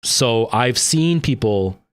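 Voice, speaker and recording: A man's voice: medium pitch, expressive, slow, clean tone. Rambling Canadian man chatting on stream. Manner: rambling, goes off on tangents; responds to viewer comments. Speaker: livestreamer. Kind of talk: casual chat with viewers.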